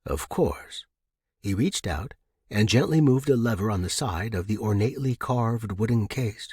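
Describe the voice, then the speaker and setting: A man's voice: lowish voice, normal speed, darkish tone, slightly rough, no echo, studio quality. A man narrating a scripted story as an audiobook reader.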